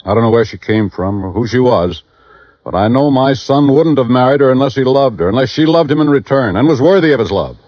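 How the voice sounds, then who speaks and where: A man's voice: medium pitch, fairly quick, no room sound, natural voice. An actor playing a proud, dying old father, grave and blunt, in 1950s radio drama style. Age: older. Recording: muffled vintage radio recording, dry.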